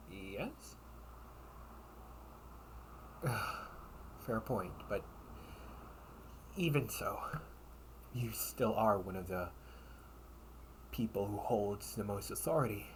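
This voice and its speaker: Man acting unhurried, low-voiced loyal young knight. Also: a little hiss or hum. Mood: respectful, reluctant. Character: loyal young knight, gently teasing, caring childhood friend. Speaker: amateur audio roleplay performer. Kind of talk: intimate audio roleplay acting.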